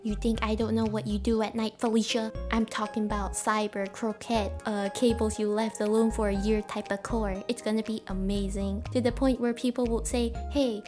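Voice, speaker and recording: A woman's voice: on the high side, little pitch movement, normal speed, few pauses, darkish tone. A woman narrating a craft video in a tongue-in-cheek, slangy, theatrical way, playing at being a doctor. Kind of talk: playful voice-over narration, mock doctor bit. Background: background music.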